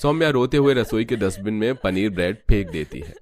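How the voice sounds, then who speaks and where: A man's voice: lowish voice, expressive, brisk. A man narrating a scripted cartoon family story.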